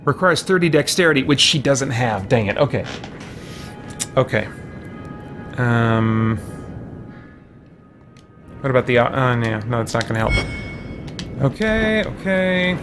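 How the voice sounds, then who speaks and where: A man giving casual let's play commentary, muttering to himself as he thinks out loud, with mild exclamations. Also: medium pitch, expressive, measured pace, pauses often. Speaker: gaming video host. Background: game music.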